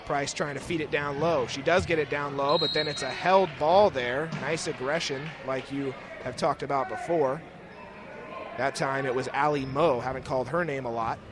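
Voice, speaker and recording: A man's voice: medium pitch, moderate pace. A young man giving live play-by-play commentary of a basketball game as the announcer. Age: young adult. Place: indoor school gymnasium during a game. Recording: noisy gym ambience, bouncing basketballs. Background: game noise, basketball bounces.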